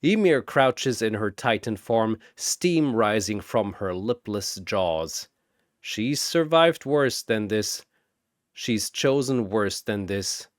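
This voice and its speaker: Theatrical male battle narrator, swinging pitch. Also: animated, no room sound, clean studio sound. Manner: theatrical, bombastic, drawn-out emphasis. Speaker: narrator and arena announcer. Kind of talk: dramatic scripted fantasy battle narration.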